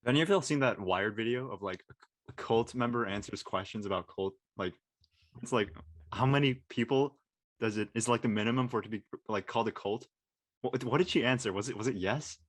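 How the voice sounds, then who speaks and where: A man's voice: mid-range voice, brisk, dry recording. A man in casual group chat, talking informally and stumbling a little as he puts questions. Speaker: panel participant.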